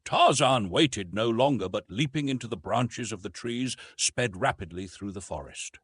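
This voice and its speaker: A man narrating an adventure novel as an audiobook, with expressive, dramatic storytelling. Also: on the low side, moderate pace, breathy edge, no room sound.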